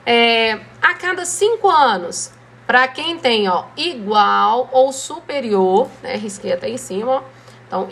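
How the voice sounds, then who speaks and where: A Brazilian woman teaching a driving school lesson, explaining rules in a relaxed, conversational way and often checking in with her students. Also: high voice, creaky. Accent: Brazilian Portuguese. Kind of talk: classroom lesson.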